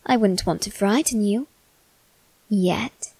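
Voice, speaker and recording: A woman voicing a condescending immortal demon boy in an ASMR roleplay, speaking softly with a teasing, smug manner. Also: medium pitch, takes it slowly, breathy edge, no echo. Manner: soft-spoken, teasing and condescending.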